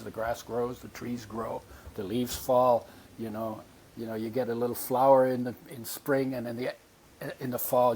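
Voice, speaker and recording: A man's voice: medium pitch, husky. A man in his eighties, born in Poland, telling his life story as a podcast guest and acting out voices from his memories. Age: 80s. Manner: animated storyteller, acts out remembered voices.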